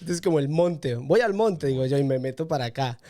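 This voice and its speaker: A man's voice: fairly high pitch, lively intonation, fairly quick, warm tone. A man hosting a podcast, interviewing a guest in a conversational style. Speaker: podcast host.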